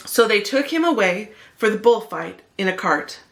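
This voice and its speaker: Female storyteller, mid-range voice, expressive children's read-aloud, speaking to young listeners. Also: mid-range voice, moderate pace, gravelly. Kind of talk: expressive picture-book narration.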